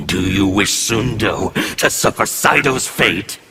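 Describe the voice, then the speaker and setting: An actor playing a menacing male anarchist warrior who speaks with fervent zeal and bursts into shouts. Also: on the low side, animated, slow, full character voice. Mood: scornful. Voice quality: gravelly, intimidating.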